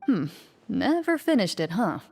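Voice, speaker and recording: A woman playing a composed young swordswoman in a video game, speaking in a measured, formal, calm way. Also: medium pitch, gravelly, slightly rough, heavily acted. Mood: calm, firm. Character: composed, stern but kind young female swordswoman. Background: game music.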